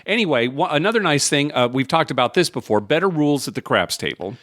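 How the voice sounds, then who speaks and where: Lively, dry-sounding podcast host. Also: male voice, on the high side, no room sound. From United States. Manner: lively, laughs often, quick asides. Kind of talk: casual chat. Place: home studio, across from co-host.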